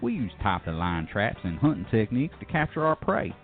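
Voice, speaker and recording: A man voicing a slick announcer in a mock commercial, with a smooth sales pitch. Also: sing-song, fairly quick. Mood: salesy. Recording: tinny phone-like sound with faint music.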